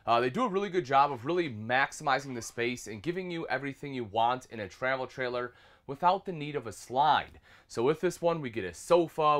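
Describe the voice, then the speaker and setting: Guy in his 30s doing an upbeat salesy walkthrough of a camper, calls everyone folks, normal pitch. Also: a bit bright. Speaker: RV product specialist. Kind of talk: product walkthrough tour. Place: inside a small travel trailer.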